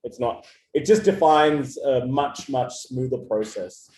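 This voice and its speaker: A man's voice: medium pitch, slow pace, a little mellow. A man who co-founded an agency, answering interview questions in a loose, conversational way with plenty of fillers and restarts. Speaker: agency co-founder giving customer feedback. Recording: echoey room with faint ambience.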